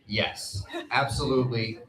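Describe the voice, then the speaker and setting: Echoey male sports panelist, mid-pitched. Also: steady tone, unhurried, fairly bright tone. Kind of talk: conversational sports analysis. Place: live on stage at a fan convention. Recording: echoey live stage sound.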